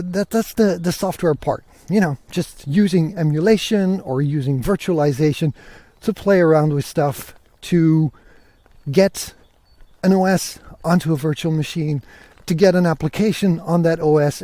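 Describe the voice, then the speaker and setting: Bright-voiced man hosting a podcast. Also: fairly high pitch, no echo. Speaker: podcast host. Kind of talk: casual, conversational tech podcast monologue.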